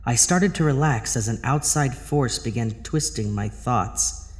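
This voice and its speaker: A man's voice: medium pitch, unhurried, close to an everyday voice. An actor playing a meek, haunted man in a horror audio drama, narrating his own story with a hesitant, anxious delivery. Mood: fogged, uneasy. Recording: music behind the voice.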